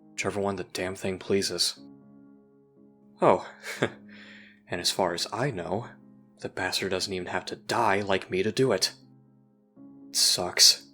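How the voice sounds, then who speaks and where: Man acting a low, jaded, sardonic youth. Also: bright, forceful tone. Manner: sardonic, conspiratorial, casually profane. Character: jaded human youth trapped in a time loop, sardonic, possessed. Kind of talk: audio roleplay acting.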